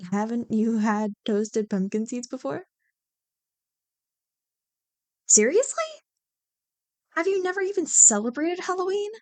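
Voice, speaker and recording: A woman playing a playful, teasing young childhood friend who loves Halloween, in an animated, bantering ASMR roleplay. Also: a little high, lightly acted. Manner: animated, bantering, mock-exasperated. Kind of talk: ASMR roleplay, one-sided scripted conversation.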